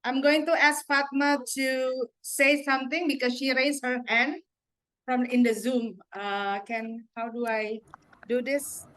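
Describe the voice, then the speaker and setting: A woman moderating a panel discussion and taking questions, relaxed and hesitant with many fillers. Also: high-pitched, measured pace.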